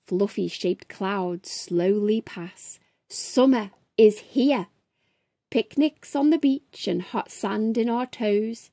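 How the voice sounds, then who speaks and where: A woman's voice: medium pitch, dark tone, dry recording, studio quality. A woman in her thirties hosting a children's storytime, speaking expressively with a sing-song delivery straight to young listeners. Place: small furnished room at home, indoors.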